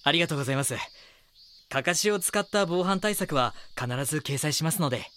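A man's voice: on the high side, animated, fairly quick, a bit bright. A man playing an eager, dogged young magazine reporter in an audio drama, polite and deferential. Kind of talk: Japanese audio drama dialogue and narration.

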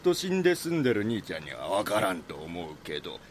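An actor playing a blunt, casual local householder with a Kansai accent in an audio drama. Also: male voice, deep voice, wildly swooping pitch, brisk, slight background noise. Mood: brusque. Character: blunt local man, brusque, matter-of-fact householder. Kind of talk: Japanese audio drama dialogue.

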